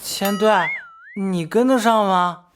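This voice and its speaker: A woman voicing a cheeky, impatient junior police investigator in an animated crime drama, with playful, colloquial delivery. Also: mid-range voice, slow pace, a little hiss or hum, natural voice. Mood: curious, impatient.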